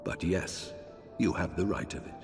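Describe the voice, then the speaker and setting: Actor as low-voiced solemn old archbishop. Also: male voice, fairly even intonation, natural voice. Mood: solemn, imperious. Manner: solemn, measured, archaic formal diction. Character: grave older father, weary authoritative archbishop of a fantasy nation. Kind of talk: theatrical fantasy game voice acting. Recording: long reverb, music underneath.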